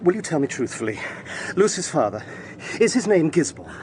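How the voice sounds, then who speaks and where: An actor playing an earnest young lawyer in a period audio drama, formal and dramatic. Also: male voice, fairly high pitch, sing-song, brisk, a little mellow, a little hiss or hum. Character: earnest young eighteenth-century English lawyer.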